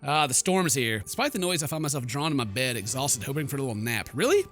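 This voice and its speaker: A man's voice: mid-range voice, very animated, quick pace, a bit bright, faint music behind. A man hosting a let's play, reading game text aloud in a playful mock-dramatic way with jokey asides. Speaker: gaming video host.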